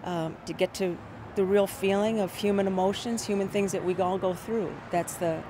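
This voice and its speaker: A woman guest singer speaking in an interview, soft-spoken and halting, with many pauses. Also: medium pitch, steady tone, background noise. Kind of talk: interview conversation.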